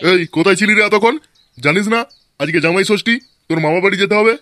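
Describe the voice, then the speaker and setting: A man's voice: high voice, fast, sharp tone, a little hiss or hum. A man voicing a brash, stingy, flirtatious middle-aged husband and father in a comedy cartoon, full of crude sarcastic banter. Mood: brisk, teasing. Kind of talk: comic cartoon dialogue.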